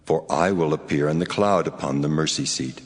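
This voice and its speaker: A man's voice: rumbling low, moderate pace. A man playing the Lord God in a dramatized scripture reading, solemn and authoritative. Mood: solemn, commanding. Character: the Lord God, solemn commanding male deity. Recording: cavernous reverb, noisy ambient backdrop.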